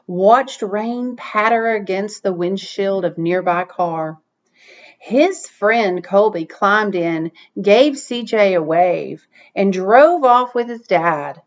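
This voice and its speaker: Woman, slow and deliberate picture-book reader, expressive delivery, muffled lo-fi sound. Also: mid-range voice. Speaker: read-aloud reader. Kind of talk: expressive picture-book read-aloud with asides to children.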